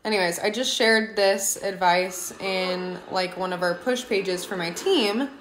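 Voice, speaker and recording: A young woman talks into a phone held at arm's length in her kitchen. Her voice is raspy and hoarse from a cough that won't go away. She keeps an earnest, chatty advice-giving manner, her voice sitting at a middle pitch, and the room adds a noticeable echo. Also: measured pace, fairly bright tone, a little hiss or hum. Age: young adult. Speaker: livestreaming mom and team leader. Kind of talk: casual advice livestream. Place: home kitchen, indoors. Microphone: phone camera at arm's length. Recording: echoey kitchen room sound.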